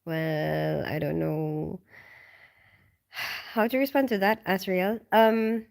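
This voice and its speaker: A woman's voice: fairly low pitch, fairly even intonation, slow, gravelly, a little mellow. A woman from the Philippines hosting a casual live stream at home at night. She reads viewer comments aloud and chats with lots of ums and small laughs, and she sounds tired and sleepy.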